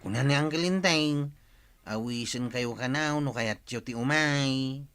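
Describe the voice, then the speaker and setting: An actor with an Ilocano accent playing a cautious nephew who gives careful warnings in a radio drama. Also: male voice, mid-range voice, unhurried, a bit bright, smooth, soft background music, natural voice. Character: cautious young male nephew. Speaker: captain's nephew.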